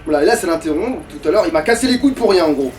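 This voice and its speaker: A man's voice: fairly high pitch, lively intonation, very fast, far from the mic, slight background noise. A man giving casual gaming commentary to a co-player, foul-mouthed and grumbling. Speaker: let's player.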